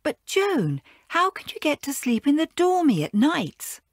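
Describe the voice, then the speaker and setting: A woman's voice: sits high, very animated, unhurried, no echo, studio quality, voice pushed up, exaggerated voice. A woman voicing a worried, whispering schoolgirl. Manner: urgent whispering. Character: kind, worried schoolgirl comforting her friend.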